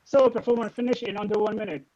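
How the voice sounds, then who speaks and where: High-voiced Syrian-accented man telling jokes. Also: fairly even intonation, fast talker, a little mellow, highs cut off. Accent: Syrian Arabic. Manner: dry one-liners delivered with light laughs. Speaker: stand-up comedian. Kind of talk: stand-up set. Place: bedroom at home.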